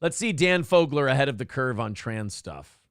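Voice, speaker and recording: A man's voice: mid-range voice, very animated, normal speed. A man hosting a casual morning talk show, brash and profane, reading viewer donations aloud and riffing on politics.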